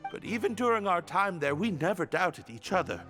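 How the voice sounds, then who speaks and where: A man's voice: sits high, animated, a little fast, fairly bright tone. An actor playing a shy, earnest young male prisoner in love in a video game, polite and hesitant. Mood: earnest, grateful. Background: background game music.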